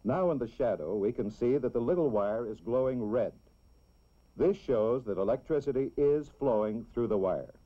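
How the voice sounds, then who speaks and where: A man's voice: mid-range voice, expressive. American man narrating a dull-sounding old educational film. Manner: simple, deliberate explaining for children.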